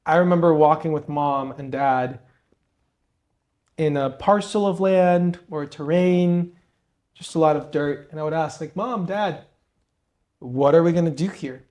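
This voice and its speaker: A man's voice: on the high side, unhurried, pauses often, darkish tone. A young man from Peru, co-host of a podcast, telling his story and giving advice in an earnest, motivational way. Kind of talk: conversational interview.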